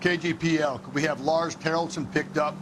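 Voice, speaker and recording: An actor playing a blunt, world-weary 1940s police detective in a video game, with dry, slangy delivery. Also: male voice, on the high side, steady tone, brisk, noisy background, close to an everyday voice. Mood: businesslike. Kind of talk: video game cutscene acting.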